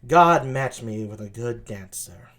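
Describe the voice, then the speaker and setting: A woman voicing a sultry, coy woman who flirts wryly at a dance, in a dramatic reading with drawn-out delivery. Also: a bass voice, animated, pitched below the performer's own voice.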